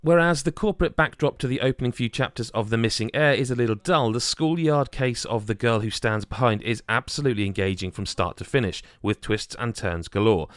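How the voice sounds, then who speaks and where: A man's voice: medium pitch, no room sound, studio quality. A man reading a scripted video game review as the reviewer.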